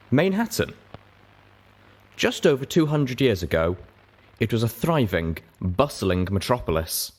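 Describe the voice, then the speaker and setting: A man reading scripted fiction aloud as an audiobook narrator, with measured, dramatic storytelling. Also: lowish voice.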